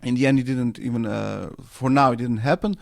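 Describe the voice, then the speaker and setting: A man from Serbia, speaking English as a second language, answering radio interview questions with many pauses and fillers. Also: medium pitch, steady tone, vocal fry. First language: Serbo-Croatian. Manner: hesitant, many fillers and restarts. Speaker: interview guest, anarchist newspaper editor.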